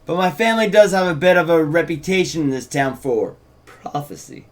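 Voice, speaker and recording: Man playing gushing shopkeeper Cass, higher-pitched. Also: animated, brisk, natural voice. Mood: theatrical. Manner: effusive, salesy. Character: Cass, gushing small-town shopkeeper and fortune teller.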